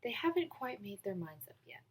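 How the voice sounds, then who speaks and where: A woman reading a children's picture book aloud in an expressive storytelling manner. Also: mid-range voice, brisk, a little hiss or hum. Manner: expressive storytelling with acted dialogue. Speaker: read-aloud volunteer reader.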